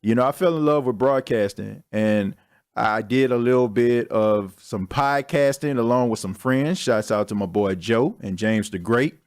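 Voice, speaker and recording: A man's voice: mid-range voice, normal speed, smooth. A young man hosting his own podcast, talking in a candid, slangy, self-mocking way as a casual solo monologue. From Texas. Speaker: podcast host.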